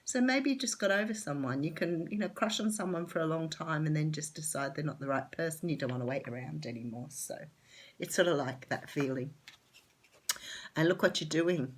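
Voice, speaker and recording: This is a woman with an Australian accent, doing a casual tarot reading to camera. Her voice sits low, and it sounds nasal and a little rough from a cold and a sore throat. She talks in a chatty, enthusiastic way, filling pauses with plenty of ums. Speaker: tarot reader.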